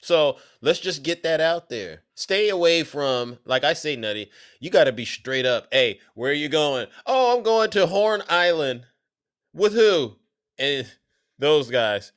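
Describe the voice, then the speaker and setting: A man's voice: on the high side, very animated, a little slow, fairly bright tone. A man hosting a political livestream, chatting casually, backing up his guest and talking to the chat. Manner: casual, affirming, talks straight to the chat. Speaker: livestream host.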